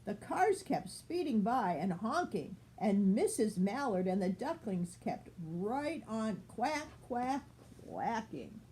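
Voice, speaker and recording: Older female storyteller, grandmotherly, highly animated, higher-pitched voice, picture-book reading over faint noise. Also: slow pace.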